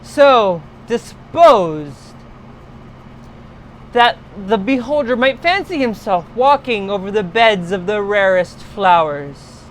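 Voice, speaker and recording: A woman reading a literary horror story aloud in a solemn, deliberate, dramatic storytelling style. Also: mid-range voice, expressive, a little hiss or hum, low-quality recording.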